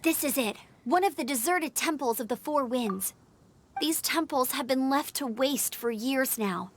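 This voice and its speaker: A woman voicing an energetic, confident and earnest young female knight scout in a video game. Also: high-pitched, measured pace, a little hiss or hum. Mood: serious. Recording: loud game music underneath. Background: game music.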